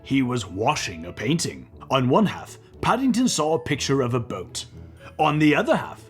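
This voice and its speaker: A man reading a children's story aloud in an animated, expressive way, with playful banter as a co-host. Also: mid-range voice, moderate pace, faint music behind. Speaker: children's book reader and comedy co-host.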